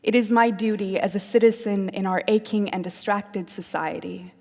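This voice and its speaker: A woman from South Africa performing spoken-word poetry with deliberate, dramatic phrasing and pauses. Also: mid-range voice, fairly even intonation, vocal fry, a little mellow. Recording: echoey hall with long reverb.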